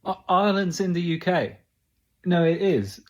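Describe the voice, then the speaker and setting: A man's voice: sits high, lively intonation, normal speed, highs cut off. A man playing an overconfident, clueless tourist in a satirical comedy sketch. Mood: smugly clueless. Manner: blundering, insistent.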